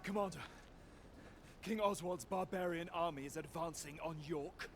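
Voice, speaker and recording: A man performs a dutiful Roman officer in a game cutscene, giving a formal, brisk report. His voice sits a little high, with faint noise behind it. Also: natural voice. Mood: urgent. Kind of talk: video game cutscene acting.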